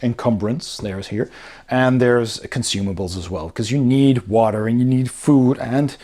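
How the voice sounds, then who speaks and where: A man's voice: medium pitch, a little slow, dark tone. A man giving a casual, unscripted review commentary, explaining things step by step as he goes through a rulebook. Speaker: host of a board game review channel.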